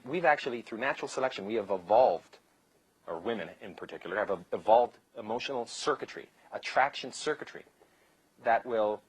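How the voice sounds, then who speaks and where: Man, lecturer, husky, hesitant with fillers, dry close sound. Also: medium pitch, no room sound, poor audio. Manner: hesitant, many fillers and restarts. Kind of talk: explanatory lecture.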